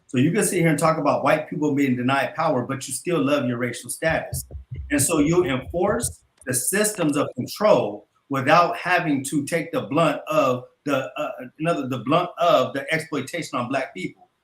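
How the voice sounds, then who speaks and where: A man on a debate panel, explaining his argument in a measured, lecturing way. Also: on the high side, reverberant, rough recording.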